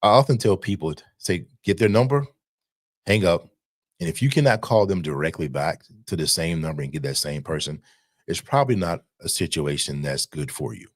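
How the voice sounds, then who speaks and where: Very deep-voiced man giving emphatic advice. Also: lively intonation, normal speed, no echo, clean studio sound. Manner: emphatic, repeats phrases, checks with "right?". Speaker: insurance broker giving advice. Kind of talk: informal advisory talk to camera.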